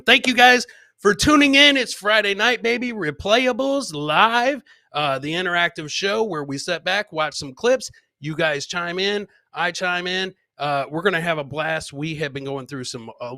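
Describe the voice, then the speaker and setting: A man's voice: fairly high pitch, sharp tone, no echo. A man hosting a live comedy podcast, chatting informally with viewers and swearing casually. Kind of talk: casual live show chat.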